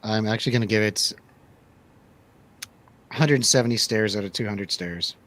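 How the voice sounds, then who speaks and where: A man's voice: lowish voice, normal speed, fairly bright tone. A man hosting a casual movie review radio show, bantering in a playful, jokey manner.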